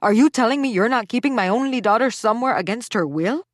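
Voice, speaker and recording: A woman's voice: fairly high pitch, quick pace, dry recording, studio quality, pitched above the performer's own voice, close to an everyday voice. Woman doing a fiery mother with a Spanish accent. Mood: outraged. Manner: loud, scolding, theatrical. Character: fiery middle-aged Spanish-speaking mother, dramatic. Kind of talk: audiobook character voice.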